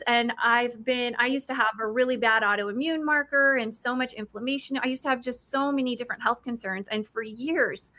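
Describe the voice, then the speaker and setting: A woman in her forties giving an eager, chatty product pitch about mushroom supplements, calling herself a nerd. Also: sharp tone. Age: 40s.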